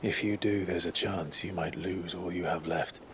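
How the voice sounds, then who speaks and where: An actor playing a stoic, resolute warrior and protective elder brother in a dramatic video game, grave and measured, with music behind him. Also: male voice, low voice, steady tone, background noise, lightly acted. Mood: grave concern.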